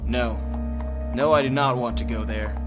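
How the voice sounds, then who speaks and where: A man's voice: medium pitch, steady tone, moderate pace, music in the background, close to an everyday voice. A man playing a sassy, defiant boy hero with an indignant, melodramatic delivery in a comedy audio drama. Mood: determined.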